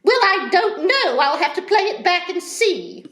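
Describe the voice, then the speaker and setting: A woman puppeteer casually demonstrating her craft, playful and quick to laugh. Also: extremely high pitch, very animated, fairly bright tone, reverberant. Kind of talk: casual teaching demo.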